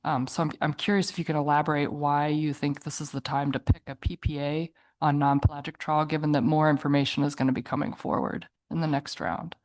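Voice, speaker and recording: A woman's voice: deep voice, level tone, brisk, few pauses, darkish tone. A woman council member who is sick, politely asking a testifier a question at a meeting.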